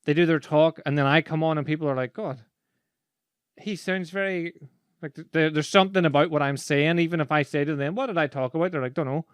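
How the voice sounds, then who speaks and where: A man's voice: on the high side, brisk, clear, resonant tone, no echo, studio quality. An Irish man in casual conversation, telling stories animatedly and laughing as he talks. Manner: animated storyteller, laughs while talking. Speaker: podcast host and speaking coach.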